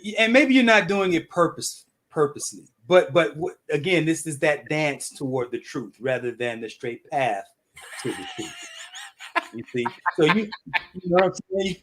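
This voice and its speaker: A man co-hosting a relationship talk show, a coach who debates his co-host and reads out viewer comments in an animated way. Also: on the high side, moderate pace, slight background noise.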